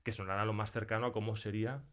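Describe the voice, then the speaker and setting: A man's voice: lowish voice, little pitch movement, rapid-fire, fairly bright tone, a little hiss or hum. A man with an accent from Spain chatting casually as a sound designer being interviewed in a studio, acting out remembered remarks as he tells stories. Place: recording studio.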